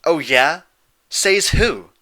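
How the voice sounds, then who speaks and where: Brash, cocky pegasus girl, done by a man, forceful. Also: on the high side, lively intonation, very slow, voice pushed up, exaggerated voice. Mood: challenging. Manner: blunt, challenging, slangy. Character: brash, impatient, cocky young female pegasus pony.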